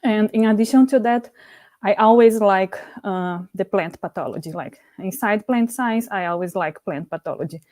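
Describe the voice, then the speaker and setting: A woman's voice: mid-range voice, normal speed, darkish tone, no room sound. A young woman from Brazil with a Brazilian Portuguese accent, answering interview questions carefully with many "like" and "uh" fillers. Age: young adult. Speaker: graduate student guest.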